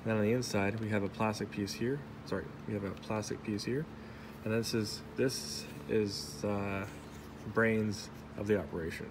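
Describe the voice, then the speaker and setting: A man's voice: lowish voice, steady tone. Rambling man in a noisy workshop. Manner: rambling, halting, self-correcting, joking. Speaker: welder reviewing his helmet. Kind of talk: casual off-the-cuff product review. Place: workshop, indoors. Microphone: phone camera held in hand. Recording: noisy workshop phone recording.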